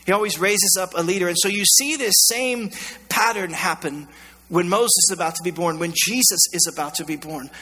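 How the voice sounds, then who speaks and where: A man's voice: sits high, a little fast, sharp tone, slightly rough. A man with an American accent preaching a sermon in an emphatic, colloquial way, repeating phrases, his voice scratchy and strained. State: scratchy, strained voice going hoarse. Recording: long hall reverb.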